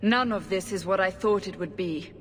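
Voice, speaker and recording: A woman playing a stern, probing interrogator in a video game, intense and deliberate. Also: mid-range voice, a bit bright, close to an everyday voice. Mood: intent, demanding. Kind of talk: video game cutscene acting. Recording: long hall reverb.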